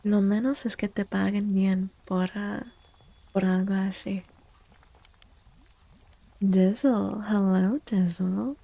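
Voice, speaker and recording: Female ASMR streamer, soft and breathy with plenty of vocal fry, unhurried, slipping into whispers. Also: medium pitch, no echo. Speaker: live streamer doing tarot readings for chat.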